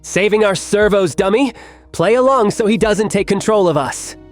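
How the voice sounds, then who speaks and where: A man's voice: high-pitched, expressive, moderate pace, soft background music. A man voicing a sly robot henchman in a comic dub, wry and obsequious. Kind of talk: comic fan dub voice acting.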